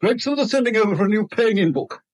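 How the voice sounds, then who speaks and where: A man's voice: high voice, lively intonation, fast. A man acting a proud, embittered older British bank manager in a 1970s radio drama, curt and indignant. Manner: curt, indignant, self-pitying.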